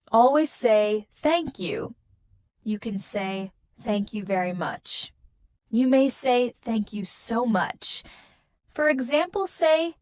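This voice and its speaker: A woman teaching a scripted beginner English lesson, speaking in slow, carefully spaced, patterned sentences. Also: a little high, no echo, studio quality.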